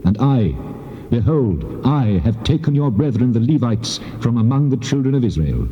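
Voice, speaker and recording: An actor playing the God of Israel in dramatised scripture, solemn, measured and commanding, with heavy reverb on his voice. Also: mid-range voice, sing-song, dark tone. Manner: solemn, measured, authoritative declaiming. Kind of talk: dramatised scripture reading in King James English. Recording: muffled tape, long cavernous reverb.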